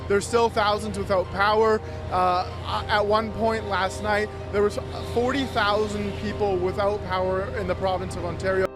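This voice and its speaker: A man's voice: high-pitched, little pitch movement, moderate pace, music in the background. A man giving a live, semi-improvised television news report from outdoors on a street.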